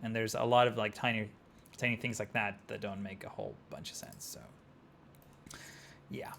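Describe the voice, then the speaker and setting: Young argentinian guy streaming a city builder, gravelly voice, rambly, faint hiss behind. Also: lowish voice, steady tone, moderate pace, a bit bright. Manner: rambling, chatty, talks to chat, many "like" fillers. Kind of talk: casual gameplay commentary.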